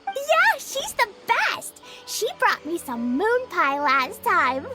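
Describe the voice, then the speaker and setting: A proud, eager little girl with a very high, squeaky voice. She takes her time over words in an innocent, lively way, with game music behind her. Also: slow, exaggerated voice. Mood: fond. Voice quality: childlike, squeaky. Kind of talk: video game cutscene acting.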